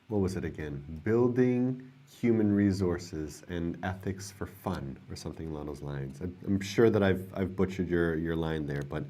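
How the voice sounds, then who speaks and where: Male streamer, very low voice, sleepy and soft-spoken, casual chat with viewers. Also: moderate pace, hardly any pauses. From United States. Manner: soft-spoken and drowsy, trailing off quietly. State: tired, not well rested. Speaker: livestream host. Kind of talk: casual livestream chat, reading viewer comments.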